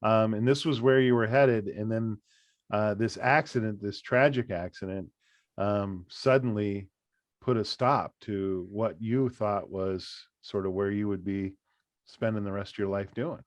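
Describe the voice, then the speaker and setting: A man interviews a guest, asking friendly, meandering questions padded with fillers. His voice sits at a middle pitch, recorded close and dry with no room echo. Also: a little slow.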